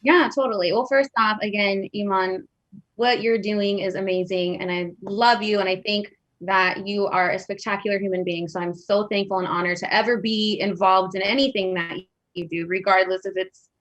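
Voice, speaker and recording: A woman's voice: mid-range voice, fairly even intonation, moderate pace, fairly bright tone, dull audio. A woman with a Persian accent, a guest singer on a livestream, chatting in a bubbly, gushing way over a video call. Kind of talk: casual livestream chat.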